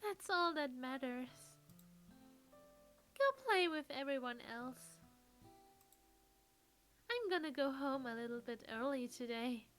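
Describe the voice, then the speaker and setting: A sweet teenage girl from a visual novel, high and swooping in pitch. Her voice trembles as she covers sadness with forced cheer, taking her words slowly. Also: expressive, a bit bright, soft background music, exaggerated voice. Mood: forced cheer, tearful. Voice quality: unsteady, tremulous. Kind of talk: visual novel character dub.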